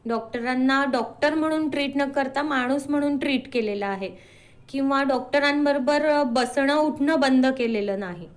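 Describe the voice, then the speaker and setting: A woman's voice: sits high, moderate pace. A woman answering as an interview guest, earnestly explaining and mixing in English words.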